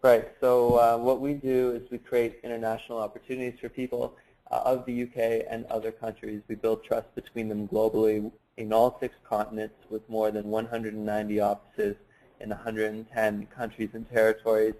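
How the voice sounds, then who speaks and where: A man presenting in a webinar for an education organisation, measured and steady, with frequent uhs. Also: on the low side, rough recording. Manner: flat, measured, with many uhs.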